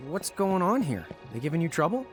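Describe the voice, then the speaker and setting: Goofy, animated young hero. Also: male voice, fairly high pitch, fairly quick. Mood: protective. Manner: casual, slangy. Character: laid-back, goofy young brother hero. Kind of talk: video game cutscene acting. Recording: loud music behind the voice.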